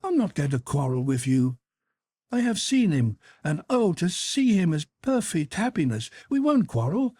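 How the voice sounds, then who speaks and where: A man's voice: a little high, very animated, normal speed, a little mellow, dry recording, clean studio sound, voice pushed up. A man voicing a dreamy infatuated young woman. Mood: pleading.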